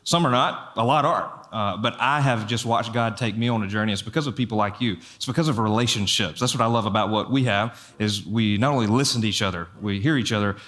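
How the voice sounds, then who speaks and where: A man's voice: on the low side, fast, hardly any pauses. A man in his thirties from the American South, hosting a church stage interview and answering with affirming amens. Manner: warm affirming, frequent amens. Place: church stage before an audience.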